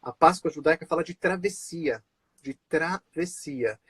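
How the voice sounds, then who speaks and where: Man, host, raised in Brazil, high husky voice, casual chat, video call. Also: unhurried, fairly bright tone, dry recording. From born in Israel, raised in Brazil. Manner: chatty, many fillers and restarts, laughs often. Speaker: host of a live stream. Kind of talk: casual live-stream conversation.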